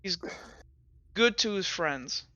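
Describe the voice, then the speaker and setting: Stammering young orphan, bright and hesitant as a player voices them. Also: medium pitch, animated, no room sound, highs cut off. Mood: defensive, halting. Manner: stammering, halting. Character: hesitant, stammering, loyal teenage street orphan.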